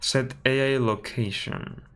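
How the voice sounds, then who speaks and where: A man from Spain with a Spanish accent, thinking aloud while building a game on a live stream and often correcting himself with a quick sorry. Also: mid-range voice, steady tone, a bit bright, smooth. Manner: mutters through steps, apologizes and corrects himself often.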